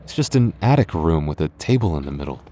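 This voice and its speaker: Man acting a deep-voiced, hesitant goth boyfriend. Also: sing-song, quick pace, dark tone, slight background noise. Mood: puzzled, searching. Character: gentle, sentimental goth boyfriend lost in endless haunted street. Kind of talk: boyfriend ASMR roleplay.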